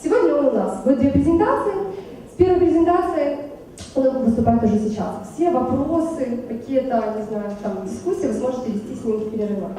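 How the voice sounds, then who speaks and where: High-voiced woman announcing in echoey hall. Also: mellow. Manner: quick, informal announcing. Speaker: event host. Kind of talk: introducing a speaker. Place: conference hall. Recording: echoey hall from far off, faint noise.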